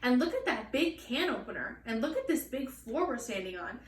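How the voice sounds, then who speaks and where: A woman voicing an excitable, boastful little schoolgirl from a storybook, gushing and emphatic with childlike grammar. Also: fairly high pitch, moderate pace. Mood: awestruck. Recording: roomy, distant mic, faint noise.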